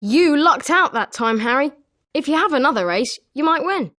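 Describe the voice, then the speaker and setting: An eager British schoolboy wizard voiced by a woman for a video game, pitched high. The delivery is chirpy and emphatic, swinging widely in melody, with a friendly competitive edge. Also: lively intonation, moderate pace, pushed and bright. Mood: consoling. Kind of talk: scripted video game character lines.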